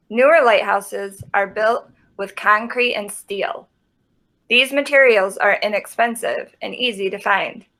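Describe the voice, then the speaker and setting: A woman's voice: medium pitch, gravelly, pushed and bright. A woman reading a children's nonfiction book aloud.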